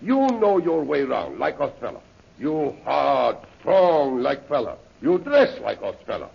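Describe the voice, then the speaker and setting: Boastful henchman, raspy and swooping, broken English, muffled vintage radio serial. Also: mid-range voice, sing-song, a little slow, heavily acted. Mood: eager, boastful. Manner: broken English peppered with French exclamations. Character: rough, fawning male French henchman, jealous and boastful. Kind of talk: 1930s radio adventure acting. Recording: muffled old-time radio recording.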